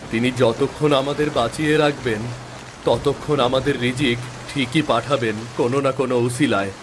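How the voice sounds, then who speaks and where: A man plays a poor, God-trusting village father in a cartoon tale. He speaks at a middling pitch in an earnest, patient, reassuring way, with rain clearly heard behind him. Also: steady tone, a little fast, background noise, natural voice. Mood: consoling, hopeful. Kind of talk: Bengali moral-story cartoon voice acting.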